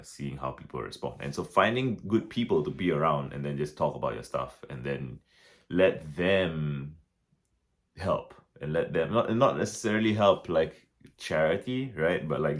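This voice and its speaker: A man talking through his thoughts in a casual reflective vlog, with a deep relaxed voice, many restarts and fillers. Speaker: vlogger.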